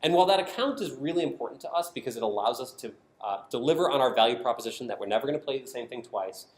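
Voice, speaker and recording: A man's voice: medium pitch, lively intonation, rapid-fire. An American man giving a prepared conference presentation, explaining his points emphatically in short, punchy statements. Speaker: conference speaker, design team member. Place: indoor conference session room. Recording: roomy echo of a presentation space.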